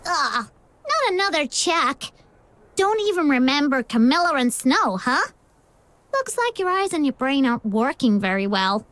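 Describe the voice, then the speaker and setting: Very high-pitched, cheeky young girl. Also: unhurried, no echo, heavily acted. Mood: annoyed, mocking. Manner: cheeky, expressive, talkative. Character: brash, chatty, teasing young girl companion. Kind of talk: animated video game voice acting.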